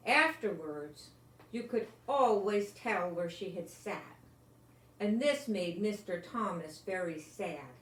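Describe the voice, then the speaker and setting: An older woman reads a picture book to young children at an unhurried pace, with plenty of lilt in her delivery. She lingers on words and drops in chatty asides. The recording sounds roomy. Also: medium pitch. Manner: expressive, lingering on words, talking as to small children. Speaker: storyteller reading a picture book aloud. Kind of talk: read-aloud children's story with chatty asides. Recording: roomy home recording with faint noise.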